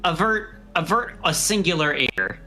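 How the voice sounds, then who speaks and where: A man's voice: on the high side, lively intonation, unhurried, over loud music. A man chatting casually as a livestream guest, with a dry, wry, rambling way of bantering.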